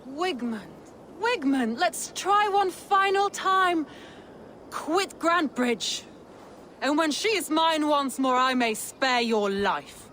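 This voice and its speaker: A woman's voice: high-pitched, animated, takes it slowly, fairly bright tone, a little hiss or hum, full character voice. A woman playing a resolute female Viking jarl in a dramatic video game, speaking in a declamatory way. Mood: defiant, calling out. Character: proud, commanding female Viking jarl, warm to her warriors.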